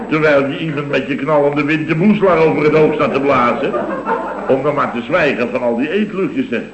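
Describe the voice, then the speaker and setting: A man's voice: mid-range voice, lightly acted. An actor playing an indignant, blustering building-firm boss in a radio comedy, exclaiming and repeating himself as he grumbles. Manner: exclamatory, repetitive, grumbling storyteller. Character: blustering, indignant male construction-company boss recounting weekend mishaps. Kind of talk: scripted radio comedy acting. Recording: dull, roomy old radio recording.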